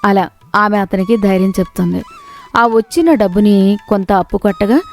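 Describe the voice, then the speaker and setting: A woman's voice: medium pitch, a little mellow. A woman reading a scripted moral story as its narrator.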